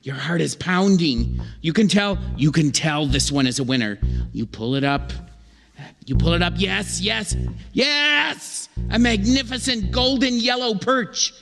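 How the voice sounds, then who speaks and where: In a reverberant theatre, a man acts out an excitable stranded fisherman for old-time radio comedy. His voice is high and slow-paced, and he stammers and repeats himself with dramatic, flustered urgency. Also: creaky, music in the background, exaggerated voice. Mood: excited. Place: theatre stage. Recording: echoey theatre hall with long reverb.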